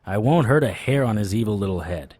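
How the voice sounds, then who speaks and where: An actor playing a wry, tough supernatural private investigator in a noir audio drama, with a deadpan, sardonic delivery. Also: male voice, on the low side, a little fast, dry recording. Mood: persuasive, joking.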